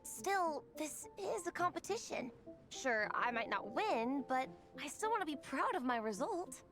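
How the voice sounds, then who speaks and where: Game role: eager young girl trainee, earnest, high voice with lively swings, music behind. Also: measured pace, heavily acted. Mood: determined. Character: determined, slightly insecure young girl in training. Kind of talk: video game character acting.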